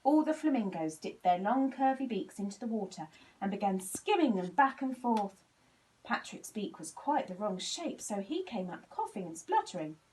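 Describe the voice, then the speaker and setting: A woman reading a children's storybook aloud in an expressive, animated way, acting out the dialogue. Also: medium pitch, distant mic, low-quality recording. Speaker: volunteer story reader.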